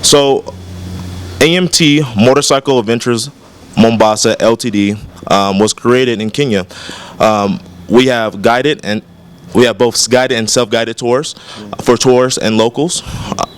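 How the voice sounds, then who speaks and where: A man's voice: mid-range voice, a little slow, slight background noise. A man in his twenties with a Californian American accent, a business founder chatting easily as an interview guest. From California, USA. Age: 20s. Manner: easygoing, lists things at length with many ums. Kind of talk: casual interview.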